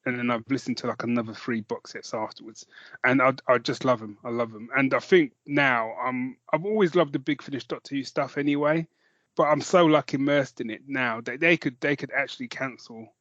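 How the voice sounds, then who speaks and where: A man's voice: medium pitch, brisk, fairly bright tone, no room sound. Jokey man, dry dull recording. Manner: jokey, self-mocking, sweary. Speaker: podcast co-host. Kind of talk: casual chat, recommending audio dramas.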